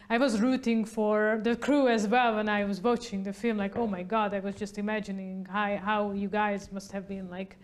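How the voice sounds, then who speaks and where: Young woman, interviewer, smooth voice, flat intonation, lapel mic, small studio. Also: medium pitch. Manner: chatty, many likes and fillers, trailing off softly. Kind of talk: festival interview.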